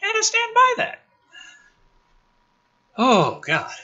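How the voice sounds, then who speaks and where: A man's voice: very high-pitched, takes it slowly, muffled recording. A man hosting a commentary show, reading scripts dramatically and reacting in a sarcastic, sweary, exasperated way. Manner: animated, sarcastic, sweary, groaning asides. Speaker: host of a gaming and film commentary channel.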